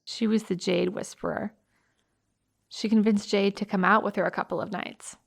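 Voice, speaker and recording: A young American woman playing a sympathetic, earnest high school girl recalling a friend in a naturalistic audio drama. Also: steady tone, moderate pace, gravelly. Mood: fond, recounting.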